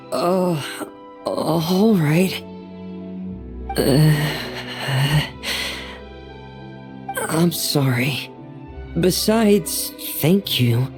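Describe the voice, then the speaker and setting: A woman voicing a shy, gentle, soft-spoken teenage boy in a game dub, speaking in a hesitant, earnest way. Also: deep voice, heavily acted. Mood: awkward, unsure. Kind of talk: English anime game dub acting.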